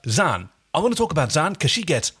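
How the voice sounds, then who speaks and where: A man co-hosting a podcast in casual, animated, opinionated chat. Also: on the high side, fairly quick, soft background music. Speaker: podcast co-host.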